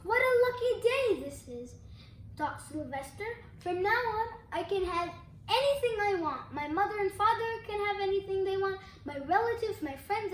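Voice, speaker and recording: Child storybook reader, very high voice, careful delivery, recorded in an echoey room. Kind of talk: storybook read-aloud. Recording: echoey room, faint background noise.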